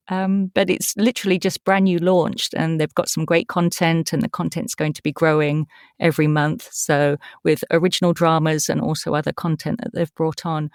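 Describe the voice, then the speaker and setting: Woman, English accent, lowish pitch, chatty, enthusiastic, casual interview guest, dry recording. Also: a little mellow, no echo. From eastern England. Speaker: podcast guest, voice actor.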